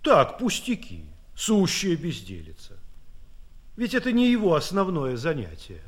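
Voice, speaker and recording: Radio play court official: deferential, placating, eager, animated higher voice. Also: male voice, measured pace, slightly rough, exaggerated voice. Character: deferential, anxious court official pleading with tsar.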